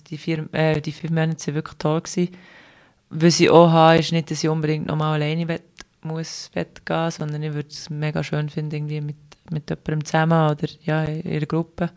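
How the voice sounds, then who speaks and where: Chatty Swiss German young woman. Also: sits low. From Switzerland. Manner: chatty, rambling, many restarts and fillers. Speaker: podcast guest. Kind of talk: casual conversational interview.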